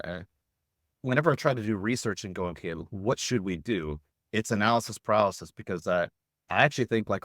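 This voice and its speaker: Male interviewer, notably low voice. Loose, thinking-aloud conversational delivery, dry recording. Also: fairly bright tone, no echo. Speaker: podcast interviewer.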